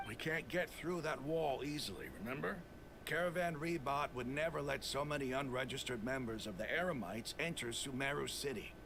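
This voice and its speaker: An actor playing a proud, resolute desert mercenary leader in a video game, speaking dramatically and persuasively over music. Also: male voice, medium pitch, fairly quick, noisy background, lightly acted. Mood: firm, confident. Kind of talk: video game character acting.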